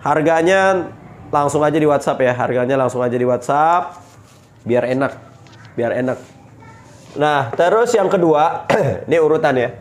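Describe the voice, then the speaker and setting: A man's voice: a little high, moderate pace, pauses often. A man with a stuffy nose from a cold, chatting upbeat as he shows off knives for sale. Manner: chatty, upbeat selling patter with pious exclamations. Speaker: knife seller. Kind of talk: casual product showcase.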